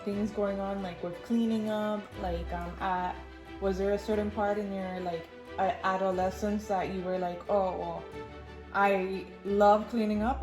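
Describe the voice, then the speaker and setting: A woman's voice: medium pitch, reverberant. A woman hosting a casual interview, enthusiastic and full of filler words. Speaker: host, interviewer.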